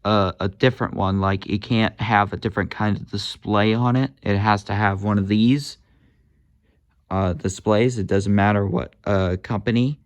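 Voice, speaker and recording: A man's voice: on the low side, fairly even intonation, normal speed, no echo. A man casually demonstrating walkie-talkies, hesitant, with many fillers and corrections of himself. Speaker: gadget reviewer.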